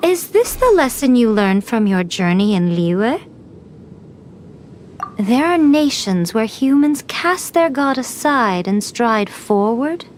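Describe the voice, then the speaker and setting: Sly, unhurried spirit mentor. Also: female voice, a little high, very animated, a little hiss or hum. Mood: earnest, challenging. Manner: knowing, teasing, deliberate phrasing. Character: sly, poised, teasing female shrine spirit mentor. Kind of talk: dramatic video game voice acting. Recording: music playing behind the voice.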